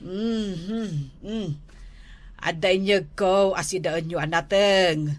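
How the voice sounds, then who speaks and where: A woman's voice: fairly low pitch, steady tone, slow pace. A woman playing a gossipy older village mother in a comedy drama, folksy, with a northern Philippine accent. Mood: sympathetic.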